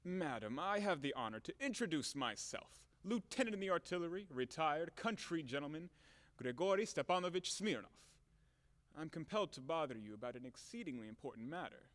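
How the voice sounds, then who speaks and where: An actor playing a brash retired artillery officer in an audio play, loud, formal and pompous. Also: male voice, a little high, big pitch swings, fairly quick. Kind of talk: audio play acting of a classic stage comedy. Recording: long reverberant hall echo.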